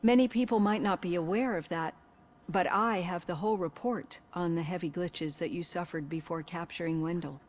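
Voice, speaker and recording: An actress playing a composed, analytical psychologist questioning a patient in video game dialogue. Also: female voice, fairly low pitch, moderate pace, slight background noise, natural voice. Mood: measured, probing. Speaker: therapist.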